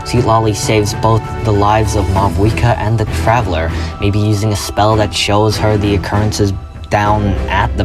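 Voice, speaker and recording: Low-voiced man narrating over loud music. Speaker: video creator presenting a fan theory. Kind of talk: scripted narration, video essay.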